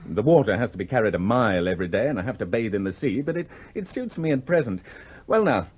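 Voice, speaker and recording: An actor playing a charming, idle English gentleman in a 1970s radio play, suave and teasing with small hesitations. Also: fairly low pitch, very animated, quick pace, slightly rough. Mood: genial, teasing. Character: charming, flirtatious, idle well-off English gentleman, restlessly bored.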